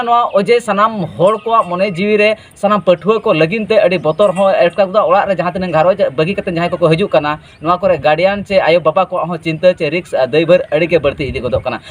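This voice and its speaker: A man with a high-pitched voice presents regional news as informal commentary. He moves through his sentences haltingly, pausing and hesitating as he explains.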